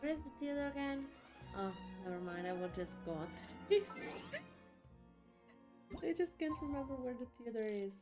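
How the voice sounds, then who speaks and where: A woman's voice: sits high, expressive, normal speed, pauses often. A woman streamer playing a video game, giving casual let's-play chat. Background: game music.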